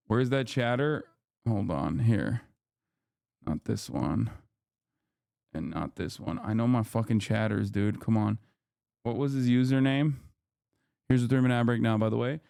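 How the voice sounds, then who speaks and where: A man's voice: fairly low pitch, measured pace, gravelly, long pauses, a little mellow, no room sound, studio quality. A man streaming live, ranting to his chat in a heated, profane, rambling way.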